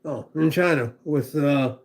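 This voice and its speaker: A man's voice: fairly high pitch, a little slow, vocal fry. An older man hosting a casual livestream, rambling, folksy and profane as he reads comments. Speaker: livestream host.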